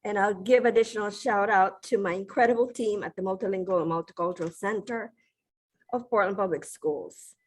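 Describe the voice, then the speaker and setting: A middle-aged woman from the Philippines giving a formal, measured acceptance speech with occasional restarts. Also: medium pitch. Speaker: award recipient giving a speech.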